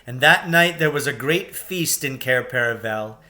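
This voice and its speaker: A man narrating a children's storybook expressively, in a measured way with dramatic emphasis. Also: medium pitch, lively intonation, bright, forceful tone. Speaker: reader of a children's novel.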